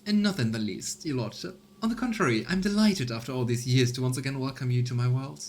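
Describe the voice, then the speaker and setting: A man's voice: mid-range voice, fast talker, a little hiss or hum, lightly acted. A man voicing a gracious noblewoman governor who speaks in a formal, ceremonious way, for a game read-along. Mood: courtly, gracious.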